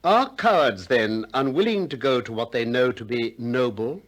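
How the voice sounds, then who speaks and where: An actor playing a male philosopher in a 1960s radio drama, courteous but relentless, articulate and measured as he questions insistently. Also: mid-range voice, expressive, fairly bright tone, close to an everyday voice. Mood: probing, insistent.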